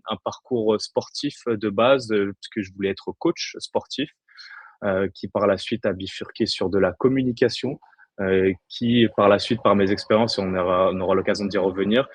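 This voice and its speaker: A man in his twenties from the Paris region talks casually as an interview guest. His voice is on the low side. The sound is dry, with hardly any room in it. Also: a little mellow, slightly rough, no room sound. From Paris region, France. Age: 20s. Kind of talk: casual chat.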